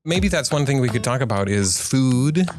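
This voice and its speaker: A man's voice: medium pitch, lively intonation, normal speed, a little mellow, soft background music. A man who grew up in Canada, hosting a podcast and asking easygoing, curious questions. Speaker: podcast host. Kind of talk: casual podcast interview.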